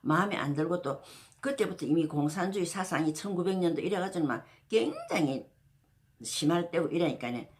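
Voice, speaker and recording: A woman's voice: lowish voice, lively intonation, a little fast, a little hiss or hum. A woman, casually telling a history story as a channel host, rambling with many fillers and restarts. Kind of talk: casual spoken history talk.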